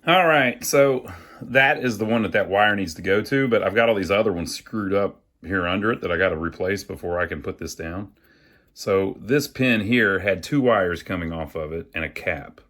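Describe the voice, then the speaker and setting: A man's voice: deep voice, normal speed, a bit bright. A man hosting a casual hands-on repair tutorial, thinking aloud in a folksy way as he works. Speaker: host of an amateur radio repair channel.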